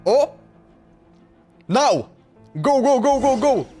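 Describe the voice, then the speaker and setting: A man doing casual live gameplay commentary, informal and quick to exclaim as he reacts to the game. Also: very high voice, lively intonation, dark tone. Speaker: game streamer. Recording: game music behind the voice. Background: video game music.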